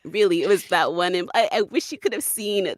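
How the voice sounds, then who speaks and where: A woman chatting casually in a group, animated and often laughing as she tells anecdotes. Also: mid-range voice, a little fast, dry recording. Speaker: audio drama cast or creator on after-show panel.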